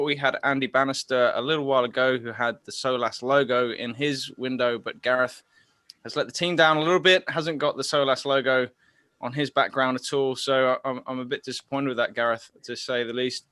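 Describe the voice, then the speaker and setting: A man's voice: medium pitch, a little fast, fairly bright tone, dry recording. A man hosting an online interview show, chatty and teasing with many fillers. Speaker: host.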